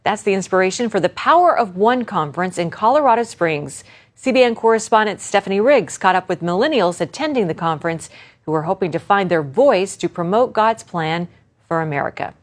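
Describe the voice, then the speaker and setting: A woman's voice: medium pitch, animated, fairly quick. A woman news anchor reading a scripted introduction in a polished, emphatic way.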